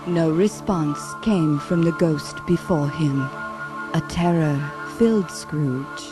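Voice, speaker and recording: A woman narrating a ghostly radio drama in a solemn, measured, dramatic storytelling style. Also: low-pitched. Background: music.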